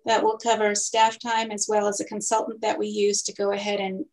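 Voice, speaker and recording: A woman in her thirties gives a council staff report over a video call. She is clear and methodical and reads out figures steadily. She speaks at an ordinary middle pitch. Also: completely flat delivery, a little fast, a little mellow, low-quality recording. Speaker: city housing and economic development manager.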